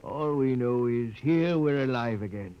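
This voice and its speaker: Kindly old country grandpa played by a man, slow and serene, dull vintage radio sound. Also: mid-range voice, animated, smooth, close to an everyday voice. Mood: serene, folksy. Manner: slow, chuckling, folksy. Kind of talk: 1950s radio drama acting.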